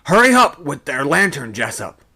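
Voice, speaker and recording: Man acting a rough, breathless shouting sailor. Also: a little high, very animated, normal speed, pitched above the performer's own voice, heavily acted. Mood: urgent, alarmed. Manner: shouting, gasping. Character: rough, breathless sailor urging men up the rigging.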